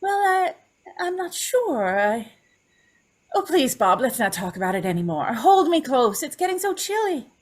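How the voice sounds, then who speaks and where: A woman's voice: sits high, big pitch swings, unhurried, exaggerated voice. A woman playing a fragile, frightened young woman in an old-time radio melodrama, pleading and tremulous. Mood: nervous, tender. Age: young adult.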